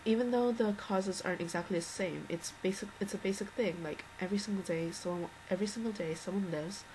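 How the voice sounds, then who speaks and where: A teenage girl with a low, slightly worn voice, rambling earnestly through an unscripted video message as a fan. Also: fast talker, darkish tone, slight background noise, low-quality recording. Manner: rambling, earnest, many restarts and fillers. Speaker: fan addressing a singer.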